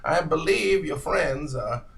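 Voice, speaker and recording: A man voicing a creepy old stranger in scavenged rags who carries a lantern, speaking in a slow, wheedling, sly way, a little away from the mic. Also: on the high side, expressive, vocal fry, a bit bright, recorded from a distance, voice pushed up, full character voice.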